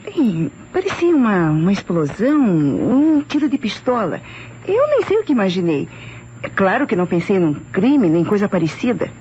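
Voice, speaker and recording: A woman playing an uneasy Brazilian wife in a vintage radio mystery. She has a mellow, mid-pitched voice with swooping, theatrical intonation and a hesitant, defensive manner. The sound is dull with faint noise. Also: sing-song, normal speed, natural voice. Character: uneasy, defensive wife of a missing rich man. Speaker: actress in a radio mystery serial. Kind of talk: old Brazilian radio drama acting. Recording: muffled, noisy old radio recording.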